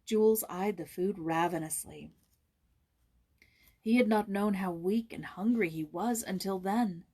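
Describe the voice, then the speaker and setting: A woman reads a children's story as an audiobook narrator. Her voice sits at a medium pitch with a slightly husky, breathy grain, and her scripted delivery is expressive. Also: a little slow.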